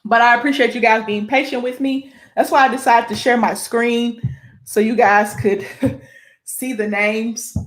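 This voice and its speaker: A woman's voice: fairly high pitch, fairly even intonation, a little slow, roomy sound. A woman with an American Midwest accent hosting a sports show casually, chatty and self-deprecating as she reads through lists and sounds out names.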